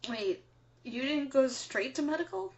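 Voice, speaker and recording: Woman playing earnest resistance broadcaster, highish voice. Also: expressive, measured pace, muffled recording. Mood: concerned, curious. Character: earnest, dutiful female resistance broadcaster, quick to bristle. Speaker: resistance radio co-host. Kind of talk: audio drama as underground radio broadcast.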